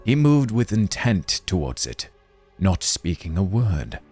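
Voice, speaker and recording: Man, dramatic storyteller, very low pitch, unhurried, theatrical, music behind. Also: very animated, a little mellow, husky. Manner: deliberate, theatrical delivery. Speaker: story narrator. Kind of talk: dramatic scripted storytelling narration.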